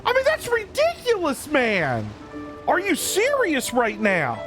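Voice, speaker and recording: A woman gives casual live gameplay commentary in blunt slang. Her voice is fairly high and swings widely, at an unhurried pace, with game music behind it. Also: wildly swooping pitch. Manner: blunt, slangy, exasperated exclamations. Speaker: game streamer.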